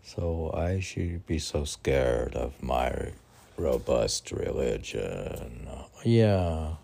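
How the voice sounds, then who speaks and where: This is a man with a very deep, dark, creaky voice. He talks slowly and roughly, in an informal poetry-reading style. He rambles conversationally, putting questions to himself and then answering them. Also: expressive. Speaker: poet reading and commenting on own poems.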